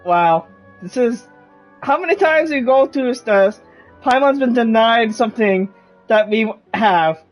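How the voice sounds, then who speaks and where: A woman's voice: on the high side, lively intonation, slow, faint music behind. A woman streaming a video game with casual commentary, reacting playfully to the story.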